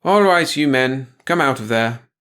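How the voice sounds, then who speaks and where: Man acting stern young female soldier, raised pitch, curt orders, contemptuous, sci-fi audiobook. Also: animated, measured pace, bright, forceful tone, clean tone. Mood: commanding. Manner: curt, commanding. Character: stern, contemptuous young woman soldier holding men at gunpoint.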